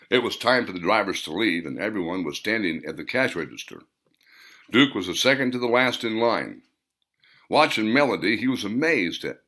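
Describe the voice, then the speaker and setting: Man, author narrating a story, low-ish voice, lively pitch, bright tone. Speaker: author reading his own story.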